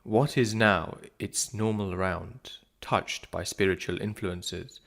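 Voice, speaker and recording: A man reads philosophical prose as a solemn, measured narration, pausing deliberately between phrases. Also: low-pitched, steady tone, creaky, a bit bright. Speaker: narrator of a spiritual audiobook. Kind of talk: scripted reading of philosophical prose.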